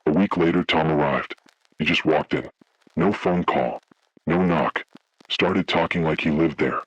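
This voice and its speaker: A man voicing a haunted, grave survivor, narrating a scripted first-person horror story in slow, deliberate, ominous phrases with a gravelly, husky voice. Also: a bass voice, no room sound, lo-fi sound, full character voice. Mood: grave dread. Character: haunted man recounting childhood motel horror, grave, dread-filled.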